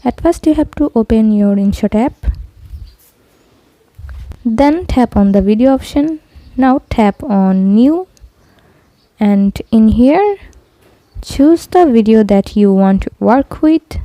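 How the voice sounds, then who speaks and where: A woman's voice: a little high, animated, slow pace, long pauses, warm tone, no echo. A woman presenting a scripted step-by-step app tutorial voice-over, addressing viewers in simple instructional phrases.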